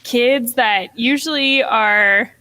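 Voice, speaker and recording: A woman's voice: a little high, slow pace, pushed and bright, no room sound, rough recording. A young woman in her twenties, a middle school teacher, chatting as a podcast guest, with lots of "like" and "um" and easy laughter. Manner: chatty, fills talk with "like" and "um", laughs often. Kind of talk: casual interview chat.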